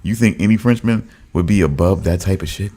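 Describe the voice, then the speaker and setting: A man's voice: deep voice, a little fast, dark tone. A man co-hosting a podcast in casual chat, teasing and repeating himself. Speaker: podcast co-host.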